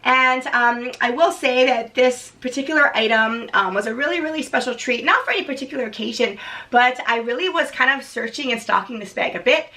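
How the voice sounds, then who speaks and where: A woman in her thirties, hoarse from a cold, chatting casually through an unboxing vlog with frequent "um"s. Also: fairly high pitch, lively intonation, brisk, sharp tone, far from the mic. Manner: chatty, many restarts and "um"s. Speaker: vlogger.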